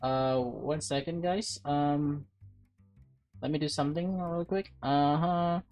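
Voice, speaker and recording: A woman with a slightly low voice narrates a visual novel for a playthrough. She moves between read-aloud story text and informal reactions, with music playing underneath. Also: takes it slowly, lo-fi sound. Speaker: let's play streamer reading and reacting to a visual novel. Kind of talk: read-aloud narration with casual live commentary.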